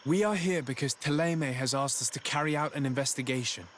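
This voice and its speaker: An actor playing a polite, earnest young envoy in a fantasy video game, with a measured, questioning manner. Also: male voice, medium pitch, fairly bright tone, a little hiss or hum, natural voice. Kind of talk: video game character acting.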